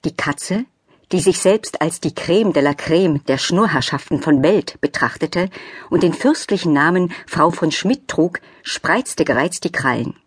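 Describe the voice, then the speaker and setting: A woman narrates a children's audiobook from a script. Her voice is fairly low and slightly husky, recorded dry and close with no room sound. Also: expressive, measured pace, dry recording.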